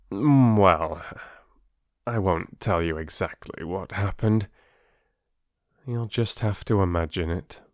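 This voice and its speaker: A man's voice: fairly low pitch, dry recording, clean studio sound. A man hosting as a storyteller, reading aloud and chatting encouragingly to his listeners. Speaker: storyteller and channel host. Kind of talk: audiobook storytelling and chat to listeners.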